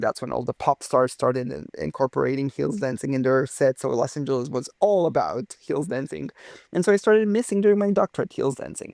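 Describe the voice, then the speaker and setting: A man's voice: mid-range voice, gravelly, a little mellow, no echo, studio quality. A young man from Romania, a physicist guest, telling his story in a casual interview with enthusiastic, theatrical phrasing.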